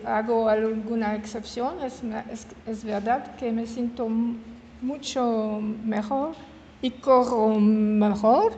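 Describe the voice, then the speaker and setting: A woman in her seventies from Switzerland, with a French-speaking Swiss accent and French as her first language, giving an informal public talk. She speaks haltingly, searching for words and correcting herself mid-word. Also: fairly high pitch, dark tone. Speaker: guest speaker. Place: meeting hall in an old house. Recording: reverberant hall with faint room noise.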